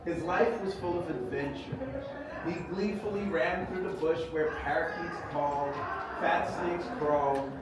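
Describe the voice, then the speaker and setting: Slow-reading man in a very echoey room. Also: fairly high pitch. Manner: measured, expressive storytelling. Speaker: author reading own book aloud. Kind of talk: children's storybook reading with sung hymn passages. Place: echoey indoor event space. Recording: echoey room, distant mic, clear background noise.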